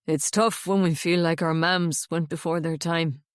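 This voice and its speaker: A woman voicing a gentle young man, kind and grieving, speaking softly. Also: on the low side, steady tone, unhurried, no echo, clean studio sound, voice pushed down, natural voice. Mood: consoling.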